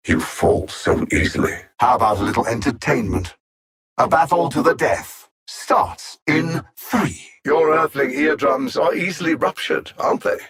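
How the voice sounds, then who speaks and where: An actor playing an arrogant alien supervillain in a video game, coldly menacing and theatrical, with haughty, condescending, precisely worded speech. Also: male voice, medium pitch, vocal fry, fairly bright tone, breathy edge, exaggerated voice. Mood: cold menace, then mocking. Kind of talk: scripted video game villain lines.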